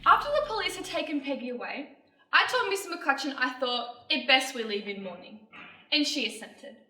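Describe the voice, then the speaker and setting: Young woman acting a high-voiced, coldly accusing sister. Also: very animated, bright, forceful tone, slight background noise, exaggerated voice. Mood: cold, controlled. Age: young adult. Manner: deliberate, emphatic, articulate stage delivery. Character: Hattie, cold sharp-witted accusing Victorian-era sister. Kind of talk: theatrical monologue performance. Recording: echoey room, distant mic, music.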